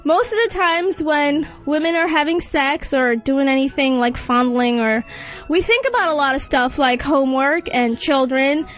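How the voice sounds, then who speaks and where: A woman's voice: sits high, moderate pace, fairly bright tone. A woman hosting a talk show in a casual, frank and unembarrassed way. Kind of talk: casual radio chat. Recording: dull lo-fi audio, faint music.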